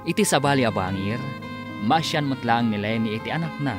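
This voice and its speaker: Dramatic male narrator, very expressive. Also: mid-range voice, brisk. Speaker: radio drama narrator and announcer. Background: guitar music.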